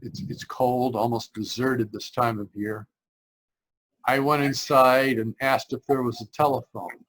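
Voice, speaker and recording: An actor playing a frightened, desperate young driver in an old-style radio drama, halting and repeating his phrases. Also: mid-range voice, steady tone, a bit bright, low-quality recording. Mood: dread, unravelling. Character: frightened, desperate young man driving cross-country, haunted. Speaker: actor narrating and playing the lead. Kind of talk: 1940s radio drama acting. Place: remote live performance over video call.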